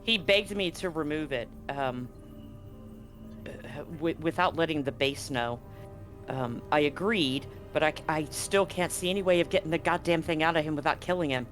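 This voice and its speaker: A man's voice: a little high, normal speed, a bit bright, soft background music, natural voice. A man playing an anxious, grave doctor in a tabletop game, explaining things clinically and hesitantly. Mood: uneasy, confessing. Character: anxious, grave male doctor at a remote medical facility.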